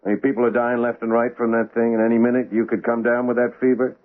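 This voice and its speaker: An actor playing a cynical police lieutenant who presses hard with skeptical questions. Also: male voice, medium pitch, flat delivery, quick pace, lightly acted. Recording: tinny old radio broadcast.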